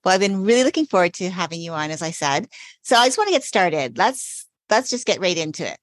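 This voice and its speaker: Low-pitched woman hosting a podcast. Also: big pitch swings, fast, no echo. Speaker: podcast host, self-care coach. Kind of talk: scripted intro then casual interview.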